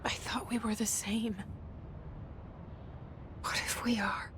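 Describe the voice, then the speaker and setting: An actress playing a guarded, haunted young woman who has just become director, talking quietly and often whispering her inner thoughts in a game cutscene. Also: a little high, level tone, slow pace. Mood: whispered. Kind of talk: video game cutscene acting.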